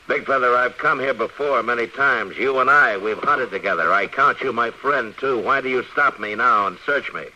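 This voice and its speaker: An actor playing a calm, steady Old West lawman with a measured, laconic way of talking, in old-time radio western style. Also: mid-range voice. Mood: steady, questioning. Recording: noisy old radio recording, slightly distant.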